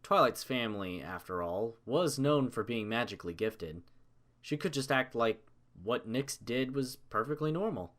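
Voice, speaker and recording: A man reading scripted story narration in a measured, dramatic manner. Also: medium pitch, expressive, fairly bright tone.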